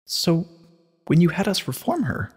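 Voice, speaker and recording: A breathy young female pony student, played by a man, pitched well above his own voice. She sounds shaken and pleading, speaking haltingly in a storybook reading with long reverb. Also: wildly swooping pitch, exaggerated voice. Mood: shaken disbelief.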